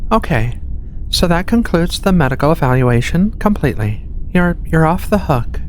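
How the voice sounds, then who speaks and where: A performer playing a giant furry alien medical researcher in a soft-spoken ASMR roleplay, gentle and reassuring with casual, hesitant phrasing. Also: moderate pace. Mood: warm, musing. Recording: steady low ship rumble behind voice. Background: low rumbling spaceship ambience.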